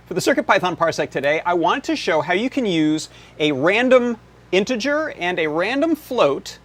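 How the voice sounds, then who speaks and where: A man's voice: a little high, fairly bright tone. A man hosting a live electronics workshop, explaining demonstrations in a casual way. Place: indoor workshop.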